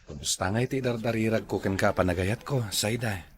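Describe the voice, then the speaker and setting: A man's voice: on the low side, fairly quick, natural voice. A man playing a hopeful, apologetic village suitor in a comedy drama, with an Ilocano accent. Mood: courting.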